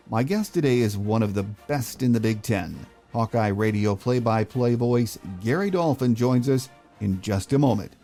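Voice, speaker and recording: A man's voice: mid-range voice, mellow. An American man hosting a sports podcast, delivering commentary and interview questions. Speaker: podcast host.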